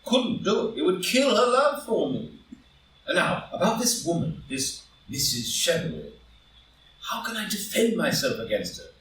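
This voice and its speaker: A man's voice: high-pitched, big pitch swings, normal speed, a bit bright. A man playing a proud, anxious married statesman who fears scandal, earnest and melodramatic, in a stage drama reading. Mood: despairing, dread. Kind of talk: live read-through of a stage play as audio drama. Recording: very echoey room, distant mic, faint noise.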